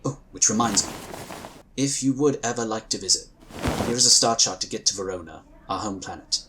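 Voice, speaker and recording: An actor playing a calm, courteous male psionic inquisitor, speaking formally and gently in a husky voice. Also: fairly low pitch, brisk, noisy background, close to an everyday voice. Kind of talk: audio drama acting.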